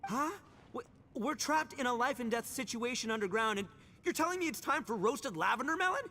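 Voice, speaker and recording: A man playing a brash, excitable, hungry young gang boss in a video game, blustering and stammering in slangy speech. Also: very high voice, fairly quick, slight background noise, exaggerated voice. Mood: indignant.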